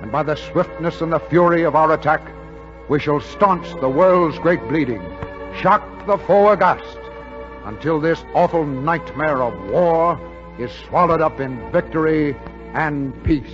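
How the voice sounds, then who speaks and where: A man's voice: on the high side, unhurried. A man delivering solemn, rhetorical scripted oratory as a military spokesman in 1940s radio style. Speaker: Army Air Forces spokesman narrator. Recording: dull 1940s radio broadcast. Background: music.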